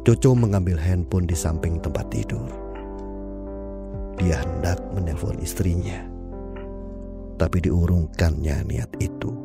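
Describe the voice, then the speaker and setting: A man narrating an audio drama in a calm, measured storytelling manner. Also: low-pitched, gravelly, over music.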